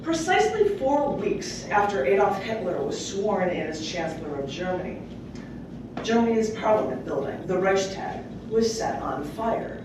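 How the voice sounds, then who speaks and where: A woman's voice: mid-range voice, sing-song, moderate pace. Echoey, distant woman reading poetry aloud. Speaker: poet reading at an open mic. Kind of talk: live spoken-word poetry reading with casual asides. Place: public library room at a live event. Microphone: distant microphone. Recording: echoey room from far off, clearly noisy.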